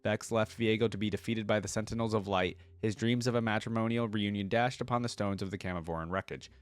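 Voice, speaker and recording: A man with a fairly low voice hosts a reaction channel. He moves between reading aloud and casual commentary, thinking out loud in an informal way. The sound is dry, with very little room in it. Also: fairly even intonation, fast, dry recording.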